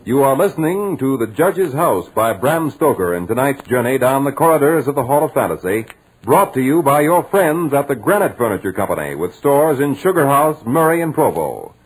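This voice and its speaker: A man's voice: medium pitch, big pitch swings, normal speed, breathy edge, rough recording. A man announcing a program in a scripted, formal 1940s radio style. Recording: old radio broadcast recording.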